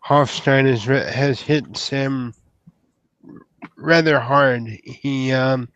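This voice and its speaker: A man playing an awkward, polite troll knight in a tabletop roleplay, speaking in a halting, formal, flustered way. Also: medium pitch, a bit bright, no room sound, lo-fi sound. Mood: awkward, solemn. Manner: halting, hesitant, hedging politely.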